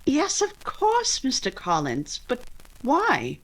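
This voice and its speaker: Woman acting a spirited, expressive young gentlewoman. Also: sits high, slow, heavily acted. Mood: polite, firm. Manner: proper, emphatic. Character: spirited, polite but firm young English gentlewoman. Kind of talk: period radio comedy acting.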